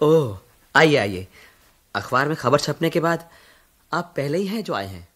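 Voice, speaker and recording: A courteous caretaker in a radio drama. His voice is husky and slightly high, with lively intonation. He is polite, watchful and inquiring. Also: a little slow, full character voice. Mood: inquiring, welcoming. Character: courteous, watchful male caretaker of an old uncle.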